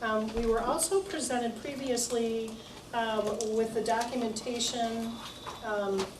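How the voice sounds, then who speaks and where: A woman chairing a township planning meeting, speaking with many pauses and fillers. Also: a little high, fairly even intonation, background noise. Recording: echoey room, distant mic.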